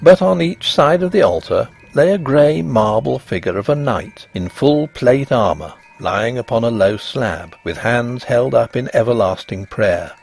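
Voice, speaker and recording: A man narrating a ghost story in an expressive, measured and dramatic scripted reading. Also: mid-range voice, creaky, husky, faint music behind.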